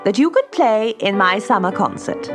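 A woman voicing a brisk, strict female piano teacher, emphatic and proper, in a children's story. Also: medium pitch, sing-song, voice pushed up. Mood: brisk, encouraging.

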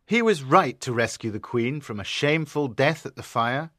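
An actor playing a proud, hot-headed knight in a dramatised storybook, speaking emphatically. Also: male voice, mid-range voice, animated, normal speed, a bit bright, dry recording, studio quality. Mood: eager. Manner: emphatic, declamatory delivery.

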